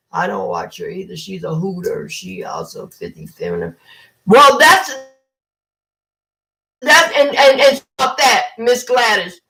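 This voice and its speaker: A woman's voice: a little high, gravelly, bright, forceful tone, low-quality recording. A woman, hosting a casual livestream and talking bluntly with mocking impressions. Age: 50s. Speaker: livestream host.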